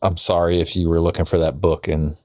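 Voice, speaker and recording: A man's voice: low-pitched, little pitch movement, brisk, a little mellow, no room sound, studio quality. A man co-hosting a podcast in casual comedic banter, often questioning and teasing. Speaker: podcast co-host.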